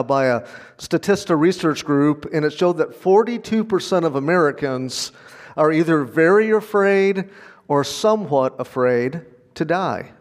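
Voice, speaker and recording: Man giving a sermon, slightly high voice, conversational and earnest, long hall reverb. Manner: conversational, earnest, with small laughs. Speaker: pastor.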